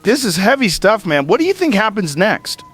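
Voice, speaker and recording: A man's voice: sits high, sing-song, moderate pace, a bit bright, soft background music. A man playing a brash, conspiracy-minded radio talk show host in an audio drama, informal and punchy. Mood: uneasy, intrigued.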